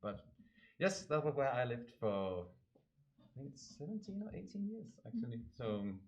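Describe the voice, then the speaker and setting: A man's voice: medium pitch, very animated, moderate pace, a little mellow, muffled recording. A young man from Denmark with a Danish accent, chatting casually and hesitantly as a guest, circling around his point. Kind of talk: casual interview chat.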